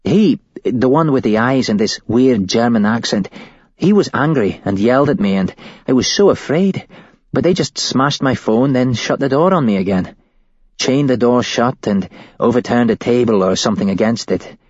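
Man putting on a frightened young woman, shaky, husky, raised pitch, dry, muffled sound. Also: animated, moderate pace, a little mellow, dry recording. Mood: shaken. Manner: shaky, tumbling recollection. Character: shaken young woman, former hostage.

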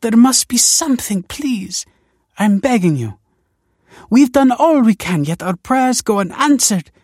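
A desperate woman acted out by a male narrator begs in a high, breathy, emotional voice that swoops about. She sounds imploring and urgent, as in an audiobook drama, recorded close and dry. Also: expressive, a little slow, a little mellow, no echo, full character voice. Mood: desperate, pleading. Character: desperate pleading woman begging for a baby.

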